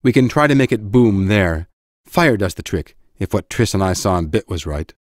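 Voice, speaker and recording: A man playing a methodical mage teacher in an audiobook drama, instructing with measured authority. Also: lowish voice, expressive, dry recording, clean studio sound, natural voice. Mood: businesslike.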